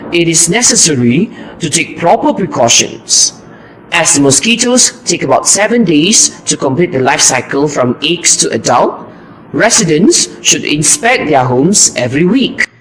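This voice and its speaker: A man playing an earnest, heroic mosquito destroyer in an amateur puppet show, with a deliberate, recited delivery. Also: on the high side, lively intonation, fairly bright tone, a little hiss or hum, low-quality recording.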